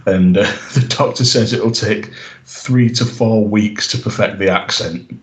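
A man's voice: fairly low pitch, a little slow, echoey room, low-quality recording. A man co-hosting a podcast, recapping an episode in casual chat, rambling with lots of "you know" and "uh". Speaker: podcast co-host.

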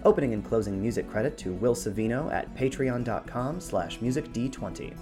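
A man's voice: medium pitch, animated, brisk, music in the background. A man co-hosting a podcast in a casual, conversational discussion style. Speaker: podcast co-host.

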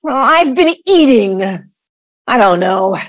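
A woman's voice: fairly high pitch, lively intonation, slow. A woman acting in an audio play as a defensive, irritated grown daughter, curt, guarded and sarcastic.

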